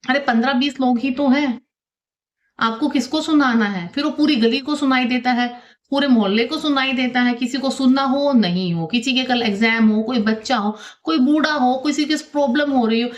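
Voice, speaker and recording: A woman's voice: on the high side, dull audio. A middle-aged woman giving conversational opinion commentary, arguing through rhetorical questions and mixing in English phrases. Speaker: vlog host.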